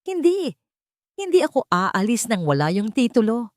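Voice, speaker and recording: A woman acts out a cornered, greedy man in an audio drama. He is defensive and agitated, and his voice is pushed up high. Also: very animated, normal speed, no room sound, studio quality. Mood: defiant. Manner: stammering, defensive. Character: desperate, cornered, greedy adult son with a gun.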